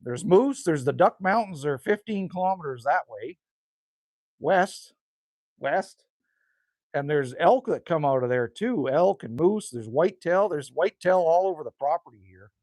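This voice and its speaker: A man's voice: fairly high pitch, animated, moderate pace, a little mellow, dry recording, studio quality. A man, chatting casually and rambling with viewers as a livestream host outdoors by a rural cabin. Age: 50s. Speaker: livestream host reading chat.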